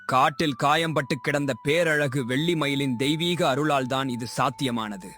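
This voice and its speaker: An earnest, humble young shepherd played by a man in an animated fable. His medium-pitched voice sounds sincere and pleading. Faint music plays underneath. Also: moderate pace, lightly acted. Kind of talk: animated moral story acting.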